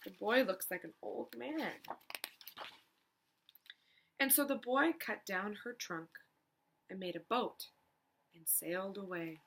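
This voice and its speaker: A woman reading a children's storybook aloud as a teacher, expressively, pausing to prompt young listeners with questions. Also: medium pitch, gravelly, fairly bright tone, a little hiss or hum, low-quality recording.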